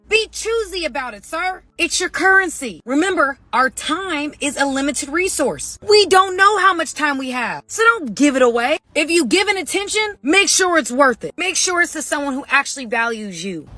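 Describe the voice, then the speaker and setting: A woman's voice: sits high, pushed and bright, dry recording. A woman giving emphatic, preachy dating advice straight to her viewers. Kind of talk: advice monologue to camera.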